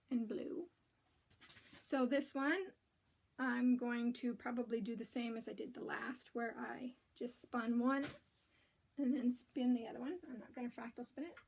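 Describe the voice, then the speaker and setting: A woman with a raspy voice hosting a casual knitting and spinning show-and-tell, rambling with many ums and restarts. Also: fairly high pitch, darkish tone.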